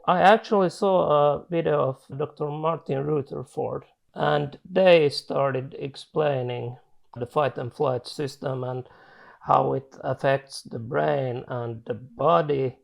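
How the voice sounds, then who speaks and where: Gravelly man in his forties. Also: medium pitch. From Finland. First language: Swedish. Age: 40s. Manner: halting, with frequent restarts and self-corrections in careful non-native English. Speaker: client giving a testimonial. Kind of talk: reflective personal testimonial.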